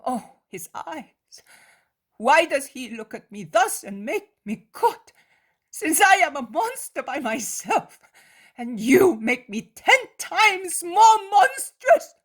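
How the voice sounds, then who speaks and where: An anguished, guilt-torn man cries out in a high, swooping voice, ranging wildly as he pleads and accuses, as a woman reads him aloud. He speaks slowly, leaning hard on each word. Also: very animated, exaggerated voice. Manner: impassioned, shouting.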